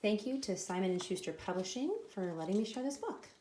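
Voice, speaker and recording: A woman reading a children's picture book aloud expressively, with deliberate, dramatic emphasis on the sound words. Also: medium pitch, lively intonation, warm tone, a little hiss or hum. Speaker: storybook reader.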